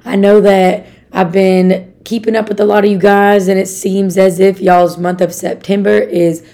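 A young woman from Arkansas with a raspy voice, hosting a casual motivational podcast in an upbeat, chatty way and talking straight to her listeners. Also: mid-range voice, flat delivery, normal speed, warm tone. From Arkansas, USA. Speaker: podcast host.

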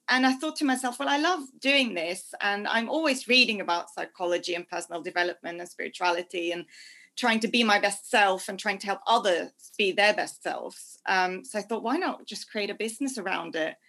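Bright-voiced woman guest. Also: mid-range voice, vocal fry. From Norway. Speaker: podcast guest. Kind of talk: casual interview chat.

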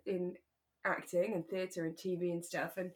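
A woman's voice: lowish voice, little pitch movement, normal speed. A woman co-hosting a podcast, chatting casually in an effusive, teasing way. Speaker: podcast co-host. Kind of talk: casual chat.

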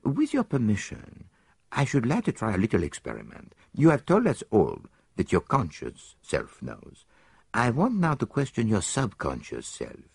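A man's voice: deep voice, sing-song, normal speed, vocal fry, breathy edge, no echo, exaggerated voice. A man voicing a shrewd, fussy Belgian male detective, speaking precisely and coaxingly, dropping in "eh?" and bits of French. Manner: precise, coaxing, tag questions like "eh?" and French phrases.

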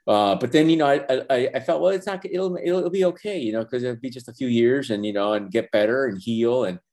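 An older man whose first language is Spanish, talking earnestly in a casual interview, halting with many restarts and fillers. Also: medium pitch, a little mellow. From Los Angeles. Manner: earnest, reflective, faith-centered. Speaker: animation professor and former feature animator, guest.

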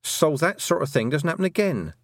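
Man voicing an earnest, high-pitched young girl. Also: sing-song, brisk, no echo. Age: child. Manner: hesitant openings, then breathless rush of detail. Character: earnest young girl, stubborn, anxious, blurting. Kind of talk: audiobook character dialogue.

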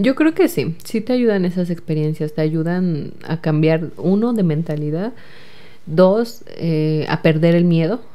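Young mexican woman with a soft, mellow voice. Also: on the low side. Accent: Mexican Spanish. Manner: chatty, with many fillers and repeated words. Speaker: guest, recent engineering graduate. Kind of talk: casual interview answers.

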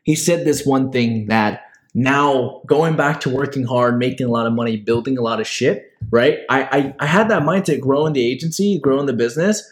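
A young business owner hosting a podcast, talking casually and earnestly, with frequent swearing and motivational advice. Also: male voice, mid-range voice, normal speed. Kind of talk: casual chat.

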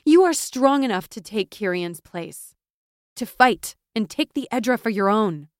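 A woman's voice: mid-range voice, moderate pace, no echo, studio quality, lightly acted. A woman voicing a loyal, stubborn young woman in a fantasy audiobook, insistent and impassioned. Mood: fierce, rousing. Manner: insistent, impassioned, gaining momentum. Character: fierce, stubborn, loyal young woman, pleading best friend.

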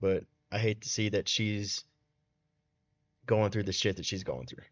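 A man's voice: lowish voice, measured pace, no echo. A man co-hosting a podcast in casual chat, hesitant, restarting with "I mean". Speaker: podcast co-host. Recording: dull lo-fi sound.

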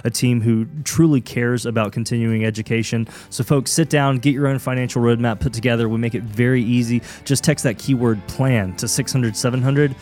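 A man co-hosting a radio show, giving friendly, pitchy calls to action. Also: steady tone, fairly quick, hardly any pauses, a little mellow, soft background music. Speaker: radio co-host. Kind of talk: casual radio talk with ad reads.

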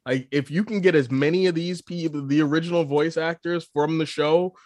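A man chats casually about pop culture as a podcast co-host. His voice is a little higher than average and recorded very dry. He is emphatic, often repeating a phrase to drive it home. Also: fairly even intonation, a little fast, a little mellow, dry recording.

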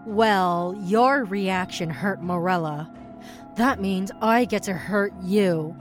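A woman's voice: medium pitch, slow pace, soft background music, lightly acted. A woman playing a vengeful siren, a menacing and protective sister, in an audio drama. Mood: cool, menacing.

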